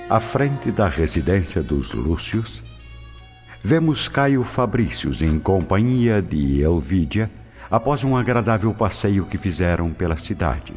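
A man's voice: sits low, darkish tone. A man with a Brazilian accent narrating a radio drama from a script. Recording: muffled lo-fi with music bed.

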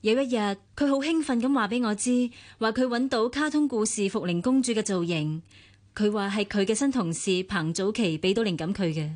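An actress with a Hong Kong Cantonese accent playing a poised, jealous longtime companion in a radio drama, earnest and pressing. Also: female voice, mid-range voice, fairly quick, darkish tone, lightly acted.

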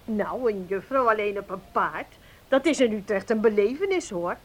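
A woman's voice: mid-range voice, animated, normal speed, close to an everyday voice. An actress playing a chatty, curious, hospitable middle-aged mother in a radio drama. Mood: curious, welcoming. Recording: noisy old radio play recording.

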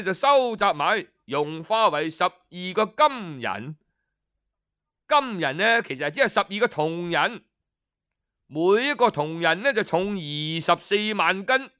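A man narrating history as a radio storyteller, deliberate and explanatory, pausing for effect. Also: high-pitched, sharp tone, clean tone. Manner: deliberate, explanatory, with rhetorical pauses and questions.

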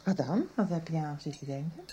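A woman playing a worried, brisk middle-aged mother in a radio soap, probing with questions. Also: deep voice, sing-song, dark tone, muffled recording, slight background noise. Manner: agitated, questioning. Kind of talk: 1990s radio soap acting.

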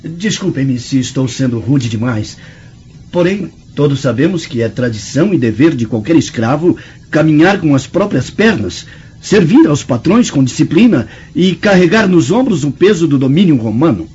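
A man's voice: fairly high pitch, lively intonation, moderate pace, darkish tone, dull audio, a little hiss or hum, natural voice. Man as haughty, swooping young Roman nobleman. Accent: Brazilian. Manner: pompous, declamatory. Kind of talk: radio drama acting.

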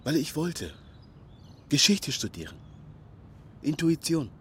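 Proud hunted young migrant from ghana, highish voice that moves a lot, short clipped lines, radio play. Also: male voice, big pitch swings, takes it slowly, fairly bright tone, slight background noise, heavily acted. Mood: guarded, proud. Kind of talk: radio drama acting.

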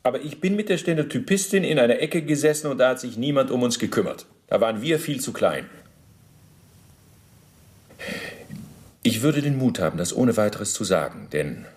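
An actor playing an evasive middle-aged male war criminal under interrogation in a radio play, halting and self-justifying, speaking in officialese. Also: medium pitch, very animated, a little mellow, lightly acted. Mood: indignant, self-justifying.

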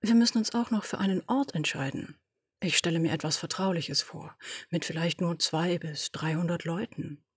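A domineering woman boss with a husky, breathy voice, as a woman reads her fires off brisk orders and brushes aside objections. She sounds dry and close to the microphone, like a home audio drama recording. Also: fairly low pitch, no echo. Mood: cool, commanding. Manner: commanding, brisk, dismissive. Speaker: podfic reader. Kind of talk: German audio drama reading.

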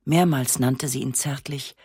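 A woman reading a literary first-person story in a sober, measured, matter-of-fact way. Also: extremely low pitch, fairly even intonation, a little mellow, slightly rough, dry recording, studio quality. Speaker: narrator.

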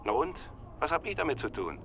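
A man's voice: a little high, sing-song, a little fast, gravelly. A man playing a stern, exasperated lawyer in a German radio drama, speaking emphatically over the telephone. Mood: angry, exasperated. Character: irritated, exasperated male lawyer, stern and contemptuous. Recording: dull band-limited phone sound, noisy.

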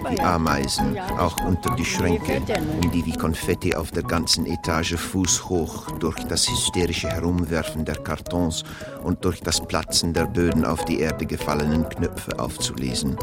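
An actor playing a long-suffering nephew worn old, narrating a literary radio play in a deadpan, mock-tragic way. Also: male voice, sits low. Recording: loud music behind the voice.

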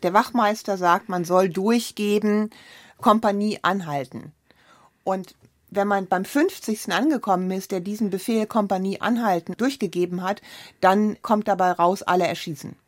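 A woman gives analytical commentary as a literary scholar. Her mid-pitched voice is recorded dry, with no room around it. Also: normal speed, dry recording.

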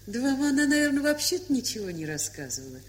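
A woman playing a good-humoured, teasing factory director in an audio play, talking in a lively colloquial way. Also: high voice, fast. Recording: hissy, humming 1970s record.

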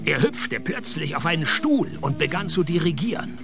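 An actor with a Swiss accent playing a cynical, deadpan private detective who narrates his own case in a radio play. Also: a little high, normal speed, vocal fry, music in the background. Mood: wry narrating. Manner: dry, sardonic, deadpan.

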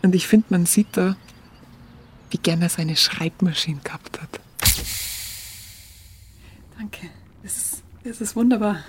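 A woman's voice: mid-range voice, a little mellow, slight background noise, lightly acted. An actress playing a curious, smitten young woman photographer in a radio play, chatty and colloquial with a Bavarian accent. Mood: curious, shy. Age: young adult. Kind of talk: radio play narration and dialogue.

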